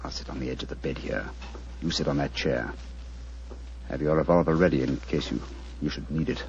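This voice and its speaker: An actor playing a brilliant, cool-headed detective in a radio drama, speaking in a crisp, precise, authoritative manner. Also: male voice, extremely low pitch, brisk, darkish tone, slight background noise. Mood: hushed, tense. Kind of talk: 1940s radio acting. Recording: dull old radio broadcast.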